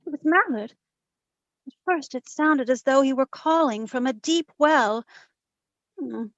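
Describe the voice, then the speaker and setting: A woman playing a wisecracking, hard-boiled private detective in an old-time radio drama, telling her case in a wry, deadpan way. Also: high-pitched, measured pace, no echo. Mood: dazed, wry. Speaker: lead actor in community theatre radio recreation. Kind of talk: old-time radio detective acting, first-person narration and dialogue. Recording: dull lo-fi recording with music underneath.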